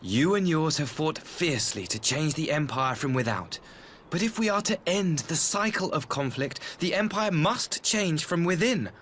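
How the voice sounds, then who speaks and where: An actor playing an earnest, diplomatic imperial idealist in a video game, speaking formally and eloquently in measured phrases. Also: male voice, a little high, animated, fairly bright tone, slight background noise. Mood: earnest, persuasive. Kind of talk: video game cutscene acting.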